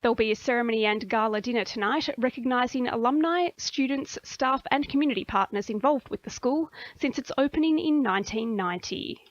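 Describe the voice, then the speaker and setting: A woman's voice: fairly high pitch, fairly quick, hardly any pauses, dry recording, dull audio. A woman with an Australian accent reading scripted news as a radio newsreader.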